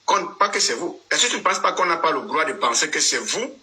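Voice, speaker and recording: A man's voice: a little high, expressive, fairly quick, sharp tone. Probing male debate moderator. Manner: probing, interrupts to steer. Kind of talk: hosting a live political debate.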